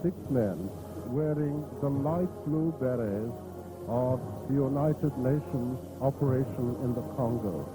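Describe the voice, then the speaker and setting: A man with an Irish accent giving grave, measured live commentary on a funeral procession in an old radio style, pausing between short phrases. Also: medium pitch, low-quality recording. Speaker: radio outside-broadcast commentator. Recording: old reel-to-reel radio tape, band music behind. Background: loud marching band music.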